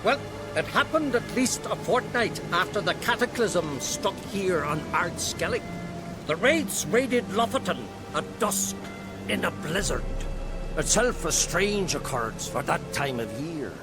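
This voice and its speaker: An actor playing a pompous, indignant older druid scholar in a video game, with a theatrical, scolding manner. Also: male voice, sits high, expressive, measured pace, low-quality recording. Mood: curious, grave. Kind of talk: video game cutscene acting. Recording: music and effects behind.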